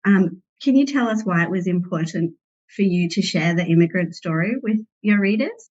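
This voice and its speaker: A woman interviewing an author for a library, asking polite, measured questions with frequent pauses. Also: lowish voice, a little mellow. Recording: dull lo-fi recording.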